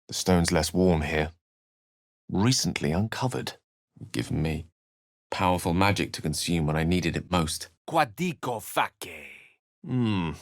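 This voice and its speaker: Learned male wizard, video game, eloquent, wry, courteous, melancholy, highly varied intonation, dry studio sound. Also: dry recording. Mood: incantatory. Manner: articulate, theatrical, bookish phrasing. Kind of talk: video game character lines and narration.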